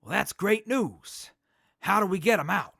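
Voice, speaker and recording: A man plays a cool-cat wasteland demolition guy in a radio play, cocky and slangy. His voice is creaky and sharp-edged, with very lively swings of pitch. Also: a little slow, no echo, studio quality, heavily acted. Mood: concerned. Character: laid-back hip wasteland explosives guy, cheerful and cocky. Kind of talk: post-apocalyptic audio drama acting.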